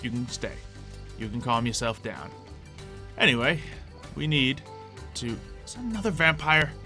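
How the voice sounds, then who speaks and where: A man's voice: medium pitch, sing-song, normal speed, bright, forceful tone. A man hosting a gameplay video with playful, chatty, theatrical commentary that often interrupts itself. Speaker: gaming video host. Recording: music behind the voice. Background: music.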